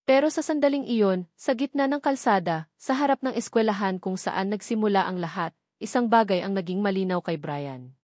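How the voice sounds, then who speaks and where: A woman's voice: mid-range voice, a little mellow, dry recording, studio quality. A woman reading a scripted romance story as a dramatic narrator.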